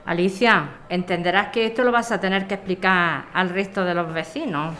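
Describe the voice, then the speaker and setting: A woman's voice: lowish voice, moderate pace, loud music behind the voice, close to an everyday voice. A woman acting a firm, resolute neighbour facing eviction in an audio drama. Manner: reproachful, firm. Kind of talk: audio fiction podcast acting.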